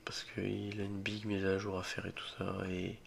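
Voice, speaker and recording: Young man, stuffy head cold, gravelly low voice, jokey nervous game commentary, faint keyboard clicks behind. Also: monotone, moderate pace, a little hiss or hum. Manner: jokey, easily spooked, mutters reactions and swears. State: has a cold, voice a bit rough. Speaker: gaming video creator. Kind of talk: solo horror game let's play commentary.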